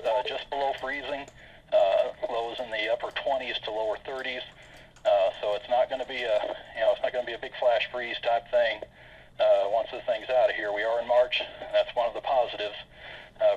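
An American man giving an informal, matter-of-fact weather briefing as a forecaster, with halting delivery, over a conference call. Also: on the low side. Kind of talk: semi-scripted weather briefing over slides. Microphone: conference call connection. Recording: dull, lo-fi conference call audio.

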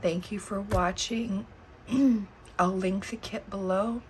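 A middle-aged woman losing her voice, chatting slowly and musing aloud as she flips through her planner at a desk. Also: mid-range voice, fairly even intonation, slight background noise, rough recording. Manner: slow and musing, thinking aloud. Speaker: planner channel host. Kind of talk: casual plan-with-me chat. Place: indoors at a desk.

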